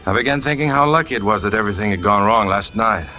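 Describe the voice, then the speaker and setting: An actor playing a brooding trapeze artist who confesses in an intense, dramatic first-person radio thriller narration. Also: male voice, lowish voice, fast talker, highs cut off, music in the background, natural voice. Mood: cold, bitter. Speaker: narrating lead character.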